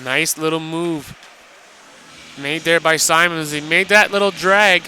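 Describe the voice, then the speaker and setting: Male colour commentator, hockey radio, high pitch, piercingly bright forceful tone, step-by-step play analysis. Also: fairly even intonation, a little slow, a little hiss or hum. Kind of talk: live ice hockey analysis. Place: ice rink, live broadcast position.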